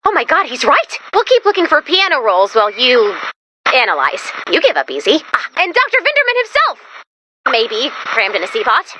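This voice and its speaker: High, bright, animated female robot sidekick. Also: full character voice. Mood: excited, wry. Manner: expressive, exasperated, quick to react. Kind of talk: animated video game voice acting.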